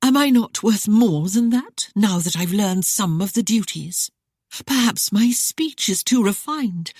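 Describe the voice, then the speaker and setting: A woman voicing a proud young noblewoman who teases, pleads and accuses in a high, emotional voice. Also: animated, measured pace, darkish tone, husky, dry recording, studio quality. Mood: coy.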